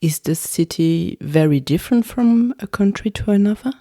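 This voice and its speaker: A woman hosting an interview podcast, speaking in a measured, articulate way as she gives scripted introductions and asks questions. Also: mid-range voice, expressive, mellow, no echo, clean studio sound.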